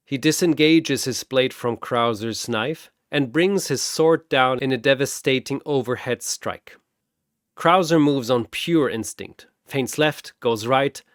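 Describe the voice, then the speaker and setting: A man's voice: mid-range voice, no room sound, clean studio sound. A man reading dramatic scripted story narration in a theatrical, punchy way.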